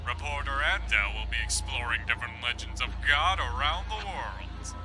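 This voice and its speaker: A man's voice: mid-range voice, lively intonation, moderate pace, lightly acted. A man playing a hyped, showy television announcer reading a promo in a manga dub. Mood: upbeat. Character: peppy male television announcer. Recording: music behind the voice.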